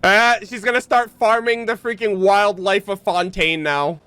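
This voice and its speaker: A woman streamer giving excited gameplay reactions in a gushing, slangy, exclaiming style. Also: medium pitch, unhurried, a little hiss or hum. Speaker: game streamer.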